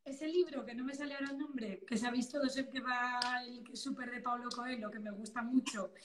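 Animated high-voiced woman in a roomy space. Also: fairly quick, a little hiss or hum. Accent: Spain. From Valencia, Spain. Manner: animated, exclamatory. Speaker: interview guest. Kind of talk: casual chat. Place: living-room sofa at home, indoors. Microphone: phone at arm's length, video call. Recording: roomy echo over phone live stream.

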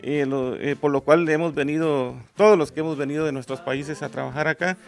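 A man's voice: on the high side, steady tone, a little fast, soft background music. A Guatemalan man in his forties, a singer-songwriter answering interview questions in a small studio, hesitant with many fillers. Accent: Guatemalan Spanish. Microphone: handheld mic held by host.

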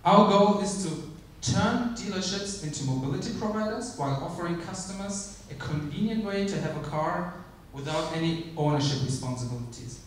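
A man giving a startup pitch on stage, measured, with frequent ums and restarts. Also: a little hiss or hum. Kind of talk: conference pitch. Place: large theater stage. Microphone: handheld mic, filmed from the audience. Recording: echoey hall from far off.

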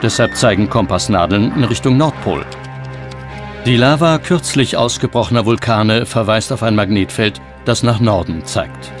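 A man's voice: on the low side, moderate pace. A man reading scripted documentary narration as the narrator. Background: background music.

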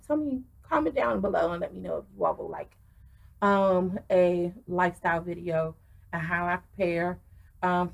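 A middle-aged woman gushes through a casual wine review, her voice carrying a gravelly fry. She talks with lots of ums and restarts, recorded on a webcam in a small wooden room. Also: mid-range voice. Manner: effusive, many ums and restarts.

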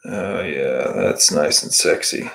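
A man's voice: very deep voice, slow, gravelly. A man in his thirties from the United States, casually teaching fly tying on a livestream while chatting with viewers from home. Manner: relaxed, chatty, answers viewer comments while working. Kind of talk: casual live tutorial with chat. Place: home room, indoors.

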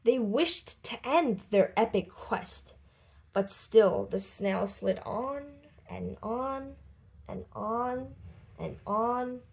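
A woman reading a children's book aloud expressively, with deliberate, dramatic phrasing and lifted voices for dialogue. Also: a little high, vocal fry. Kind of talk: expressive storybook read-aloud.

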